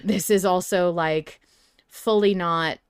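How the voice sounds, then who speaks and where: A woman's voice: lowish voice, fairly even intonation, slow, clean tone, no echo, clean studio sound. A woman co-hosting a fan podcast in a casual, informal discussion style, swearing freely. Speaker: podcast co-host.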